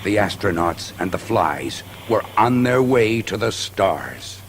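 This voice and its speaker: A man's voice: lowish voice, expressive, slow pace, a little hiss or hum. A man playing a solemn elder insect warrior, telling sacred history in a grave, theatrical storybook-record style. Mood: solemn, grand. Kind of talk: dramatic scripted storytelling on a children's story record. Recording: studio story record with music underneath.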